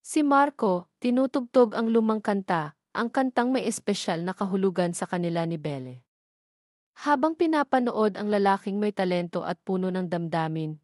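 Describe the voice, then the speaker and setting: A woman narrates a scripted drama in a mid-pitched voice. The sound is dry and close, with the delivery of an audio storyteller. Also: darkish tone, no echo.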